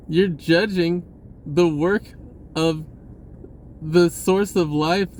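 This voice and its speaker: Rambling guy with a slightly high voice. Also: a little mellow, a little hiss or hum. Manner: rambling, repeats words, swears casually, says "dude". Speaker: spiritual vlogger. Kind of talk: casual spiritual monologue.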